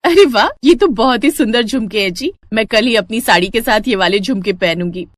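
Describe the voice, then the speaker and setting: Woman playing a lively, obliging young bride. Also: on the high side, brisk, a little hiss or hum, natural voice. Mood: delighted. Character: gentle, obliging young married daughter-in-law, meek and pleasant.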